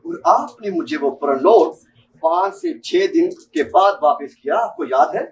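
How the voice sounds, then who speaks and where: A man's voice: high voice, huge pitch swings, measured pace, gravelly, exaggerated voice. A student actor, a young man with a Pakistani accent, playing an insistent, politely menacing bank clerk in an amateur stage drama. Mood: coldly menacing. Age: young adult. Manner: formal, insistent, repeatedly addressing the listener politely. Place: seminar hall stage. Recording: distant mic in a hall.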